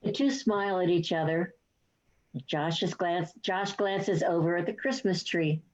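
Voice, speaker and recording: A woman's voice: lowish voice, fairly even intonation, unhurried, darkish tone. A woman reading scripted stage directions as the narrator of a play reading. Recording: dull, lo-fi online recording.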